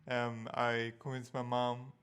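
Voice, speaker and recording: Austrian man with a smooth voice. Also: medium pitch, monotone, slow pace, fairly bright tone. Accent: Austrian German. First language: German. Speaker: co-host, husband. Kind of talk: casual storytelling to viewers.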